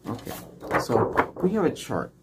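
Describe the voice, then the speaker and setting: A man's voice: mid-range voice, sing-song, a little slow, heavy background noise. A middle-aged man improvising an ASMR doctor roleplay, giving short, simple questions and instructions as in a medical exam. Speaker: ASMR role-player acting as ER doctor. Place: small home room set up as clinic.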